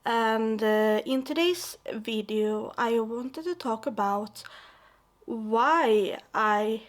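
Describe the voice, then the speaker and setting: A young woman from Sweden with a Swedish accent, talking to camera in her vlog, with halting restarts and fillers. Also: on the high side. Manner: slow, hesitant, many restarts and fillers. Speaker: YouTuber. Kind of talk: personal vlog talk.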